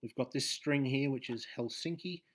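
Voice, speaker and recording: A man's voice: mid-range voice, normal speed. Hesitant man talking through code. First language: English. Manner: hesitant, many ums and restarts, trails off quietly. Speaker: game dev tutorial host. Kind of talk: screen-share coding tutorial.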